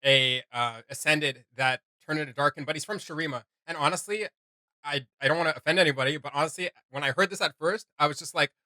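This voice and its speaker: A man hosting a reaction video, chatting casually like an excited, slangy fan. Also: fairly high pitch, fast, dry recording. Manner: enthusiastic fan, slangy, hesitant phrasing.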